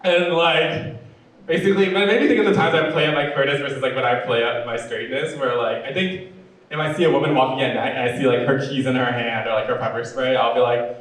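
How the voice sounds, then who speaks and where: A male stand-up comedian with a deep voice and a sweet, fruity cadence, telling jokes in a campy, chatty way full of "like" and "you know". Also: fast talker, a bit bright, distant mic. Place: comedy club stage. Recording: very echoey room, voice off-mic.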